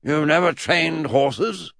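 A man's voice: fairly high pitch, expressive, takes it slowly, fairly bright tone, no room sound, clean studio sound, voice pushed up. A man voicing an eccentric, blustering old great-uncle in a comic children's audiobook, pompous and emphatic. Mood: stern, indignant. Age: older.

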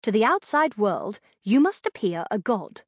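A woman's voice: sits high, big pitch swings, normal speed, dry recording, studio quality, pitched above the performer's own voice. A woman voicing a stern, protective royal lady in an audiobook, commanding and emphatic in manner. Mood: stern, urgent.

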